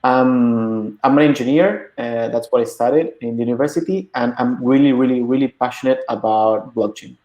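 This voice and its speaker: A man in his thirties from Argentina, a bootcamp instructor presenting a webinar in a relaxed way while setting up his slides. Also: mid-range voice, normal speed, hardly any pauses, roomy sound, dull audio. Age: 30s.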